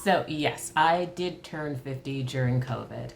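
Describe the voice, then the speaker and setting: A woman in her early fifties reflecting candidly on her own life in a thoughtful, halting way, as in a personal interview. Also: very deep voice, gravelly, soft background music. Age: early 50s. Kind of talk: reflective personal talk to camera.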